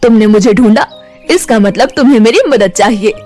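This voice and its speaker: Casting: woman as benevolent talking tree for a storybook tale, reassuring, measured, set a little under the narration, faint music behind. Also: fairly high pitch, warm tone, lightly acted. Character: kindly male magic tree spirit, calm and reassuring.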